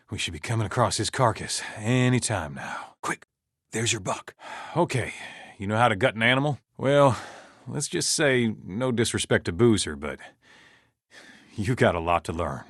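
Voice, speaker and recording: A man's voice: on the low side, fairly bright tone, no echo, studio quality, exaggerated voice. A man acting a folksy, commanding survivor camp leader in a video game, speaking plainly and naturalistically.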